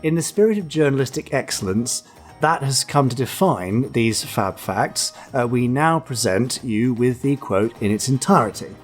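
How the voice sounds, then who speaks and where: Wry man hosting, lively intonation. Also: mid-range voice, moderate pace, faint music behind. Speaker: podcast host. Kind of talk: light-hearted podcast chat with read-out segments.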